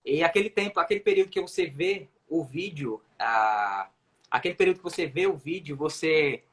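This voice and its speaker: A man's voice: on the high side, lively intonation, brisk, fairly bright tone. A man with a Brazilian accent, a native Portuguese speaker, explaining things emphatically in a casual livestream chat. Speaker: self-taught English coach on a livestream.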